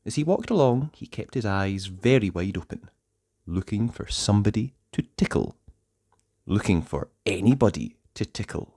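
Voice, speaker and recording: A man narrating a children's storybook aloud in a playful way, with dramatic pauses and emphasis. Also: on the low side, lively intonation, a little mellow, no echo, studio quality.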